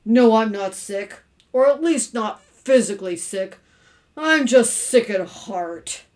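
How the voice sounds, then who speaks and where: A woman's voice: a little high, lively intonation, slow, a little mellow. A woman voicing a grumpy, mournful middle-aged husband in a storybook, growling and doleful. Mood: mournful, dejected.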